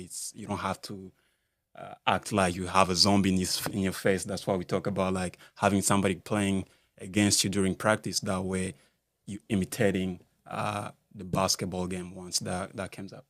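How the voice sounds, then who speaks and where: Deep, flat-voiced man from Rwanda. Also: dry recording, clean studio sound. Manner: halting, searches for words, many fillers. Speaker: basketball skills coach. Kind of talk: casual podcast interview. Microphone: studio mic.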